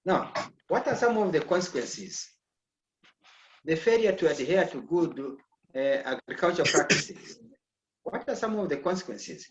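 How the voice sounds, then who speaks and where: A man's voice: on the high side, big pitch swings, moderate pace, background noise. A man from Zambia lecturing an online agriculture class, often checking in with "okay?". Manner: repeats "okay?" to check understanding. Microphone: video call. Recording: echoey, dull video call audio.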